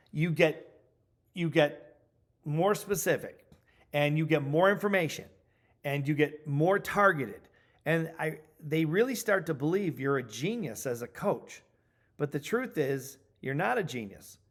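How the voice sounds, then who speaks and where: A middle-aged man giving an instructional training talk as a coaching trainer, speaking emphatically and deliberately and repeating key points for stress. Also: a little high, animated.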